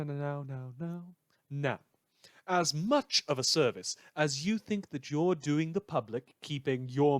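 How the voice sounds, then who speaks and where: A man's voice: on the high side, dry recording. A man playing a sarcastic radio news host in a superhero world, delivering scripted comedy news with theatrical dry asides. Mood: snide warning.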